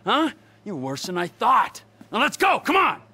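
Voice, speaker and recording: An actor playing a volatile, middle-aged criminal psychopath in a video game, with a sardonic, theatrical, needling delivery. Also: male voice, high voice, wildly swooping pitch, normal speed, bright, forceful tone, full character voice. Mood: angry ranting. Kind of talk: video game dramatic acting.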